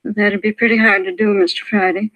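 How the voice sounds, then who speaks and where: A woman's voice: medium pitch, little pitch movement, a little fast, a bit bright, natural voice, low-quality recording. A frail old widow in a vintage radio crime drama, played by a woman. She is hesitant and plaintive, apologizing as she goes, a shaken victim who is unsure of herself. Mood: weak, unsure. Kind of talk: 1950s radio drama acting. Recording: vintage 1950s radio broadcast.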